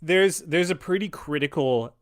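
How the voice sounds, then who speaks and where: A man chatting casually on a podcast, rambling and halting, with a tongue-in-cheek way of talking. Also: on the high side. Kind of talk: casual movie chat.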